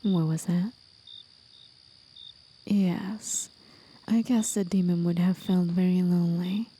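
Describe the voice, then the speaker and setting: A gentle, teasing demon played by a woman tells an old tale in a soft whisper for an ASMR roleplay. The delivery is very slow and even, with a wistful, breathy hush. Faint cricket and insect ambience sits behind the voice. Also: fairly low pitch, slight background noise. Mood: tender. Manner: slow, hushed, measured storyteller. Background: crickets and night insects.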